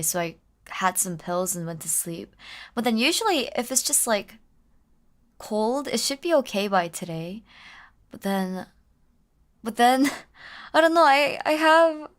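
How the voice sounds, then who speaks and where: A woman live streamer chatting casually while slightly ill, her voice rough and lower than usual, giggling often between rambling remarks. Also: a bit bright.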